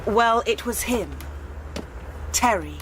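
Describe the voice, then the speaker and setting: Lively young British woman, high-voiced. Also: takes it slowly, background noise. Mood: candid. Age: young adult. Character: candid, teasing young unemployed woman. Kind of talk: British radio drama acting.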